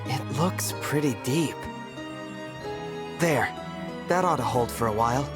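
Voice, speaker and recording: An earnest young royal of dragon blood in a video game cutscene. The voice is sincere and polite, with lively, swinging intonation over clear background music. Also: medium pitch, slow. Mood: gentle, caring.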